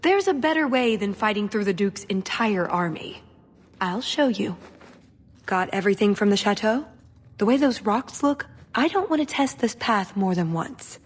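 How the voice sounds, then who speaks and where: Woman acting an earnest, quick-witted elf, reverberant. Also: medium pitch, lively intonation, lightly acted. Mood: playful, confident. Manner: earnest, lightly playful. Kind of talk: video game character acting.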